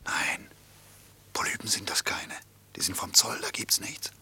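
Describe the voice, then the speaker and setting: An actor playing a stubborn, wry French prisoner of war on the run in a radio play, speaking huskily in an insistent, dryly mocking, colloquial way. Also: male voice, fairly low pitch, steady tone, measured pace, bright, forceful tone, in a whisper, full character voice. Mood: hushed, knowing. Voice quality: husky.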